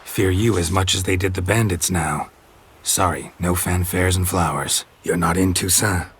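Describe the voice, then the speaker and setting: Gruff game hero, deep husky voice, terse, sardonic, world-weary. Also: male voice, normal speed, heavily acted. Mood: dry, deadpan. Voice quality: gravelly, husky. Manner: terse, deadpan. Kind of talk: video game acting.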